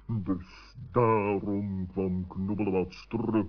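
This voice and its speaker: A man's voice: low voice, flat delivery, slow pace. A man playing a wordy, wise forest bird in a children's radio play, with hesitant, pompous phrasing. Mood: dry, resigned. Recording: dull, muffled old radio recording.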